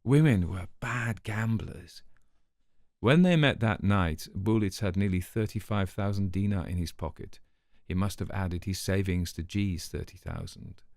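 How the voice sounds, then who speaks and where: A man narrating a crime story from a script in a measured, articulate, dramatised way. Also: sits low, a little mellow, dry recording, studio quality.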